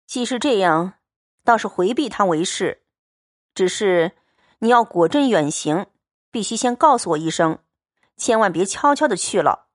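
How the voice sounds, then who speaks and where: A woman voicing a gentle, emotional young nobleman who speaks softly and pleadingly. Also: mid-range voice, animated, a little slow, pauses often, dry recording, studio quality, close to an everyday voice. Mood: earnest, pleading.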